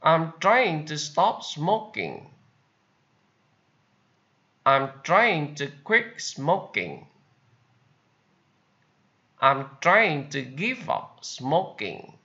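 Slow, lively-pitched man with a Vietnamese accent. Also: dull audio. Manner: repeats each English sentence slowly, alternating with Vietnamese. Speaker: English teacher. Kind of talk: slow vocabulary lesson with repetition.

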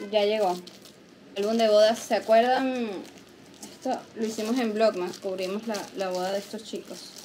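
A woman's voice: a little high, a little mellow, a little hiss or hum. Chatty Venezuelan woman vlogging. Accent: Venezuelan Spanish. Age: late 20s. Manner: chatty, playful, teasing. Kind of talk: casual vlog chat to camera. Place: shop aisles, then a small living room. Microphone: handheld camera at arm's length.

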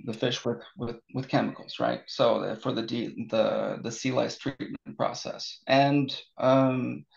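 A man with an American accent answering as a guest expert in a webinar interview, talking haltingly with many restarts and fillers. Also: mid-range voice, fairly even intonation, gravelly, dull audio. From born in Seattle, raised in Norway.

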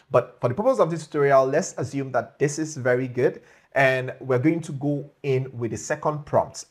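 Higher-voiced man hosting a tutorial. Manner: emphatic, repeats key phrases, checks in with "right?". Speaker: tutorial host. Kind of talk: conversational step-by-step screen tutorial. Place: small home office, indoors.

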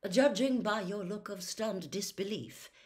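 A woman voicing a pleasant, calm old male wizard with formal, measured, polite speech in a storybook reading. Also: mid-range voice, lightly acted. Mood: courteous, unruffled.

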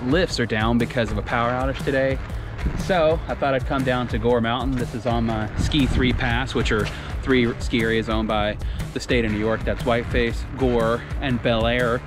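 A man in his thirties vlogging outdoors on a ski mountain, chatting casually into an action camera and whooping with excitement as he skis. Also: mid-range voice, moderate pace, music in the background. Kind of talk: casual vlog narration while skiing. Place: outdoors on a ski mountain, parking lot and chairlift. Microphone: action camera held or chest-mounted.

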